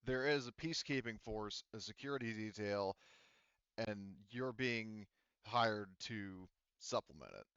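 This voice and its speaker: A man acting as game master, explaining a tabletop game scenario in a conversational, halting way. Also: on the low side, a bit bright, smooth, no echo, muffled recording.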